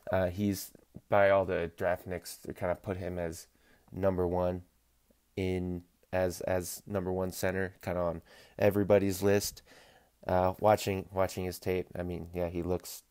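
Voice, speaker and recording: A man hosting a casual solo sports podcast, stuffy-nosed from allergies, rambling and correcting himself mid-sentence with wry deadpan asides. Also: low-pitched, unhurried, smooth. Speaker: podcast host.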